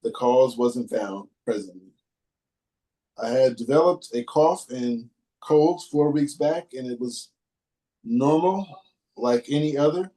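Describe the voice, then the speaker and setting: A male podcast host with a mellow, mid-pitched voice. He chats loosely and reads long passages aloud in a halting, even manner. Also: poor audio. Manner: laid-back, reads in a halting steady way. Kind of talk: casual chat and reading a listener post aloud.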